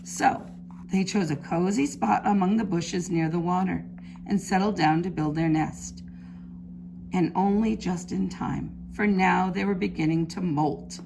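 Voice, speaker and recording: A woman preschool teacher reading a story aloud to young children in simple, deliberate phrases. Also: on the low side, gravelly. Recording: faint background noise.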